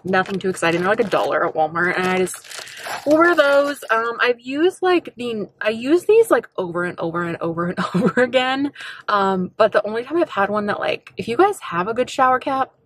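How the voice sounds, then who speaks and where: A woman's voice: a little high, sing-song, brisk, a bit bright, dry recording. A young woman vlogger in her twenties chatting casually to camera about her shopping haul from a parked car, bubbly and giggly with lots of fillers. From United States. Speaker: beauty vlogger. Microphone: camera on dashboard at arm's length.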